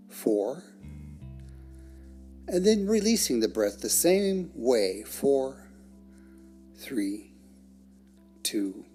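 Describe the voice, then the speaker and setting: Someone leading a guided meditation, really slow and soothing, soft guitar playing behind. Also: medium pitch. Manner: measured, soothing, with long pauses. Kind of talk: guided meditation, scripted narration. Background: soft plucked guitar music.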